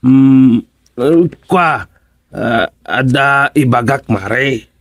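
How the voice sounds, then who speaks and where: Male actor, husky gravelly voice, sly hesitant councilor, Ilocano accent, radio drama, dry close sound. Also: medium pitch, dry recording. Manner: hemming, halting, evasive. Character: scheming, hesitant male village councilor angling for higher office. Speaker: village councilor.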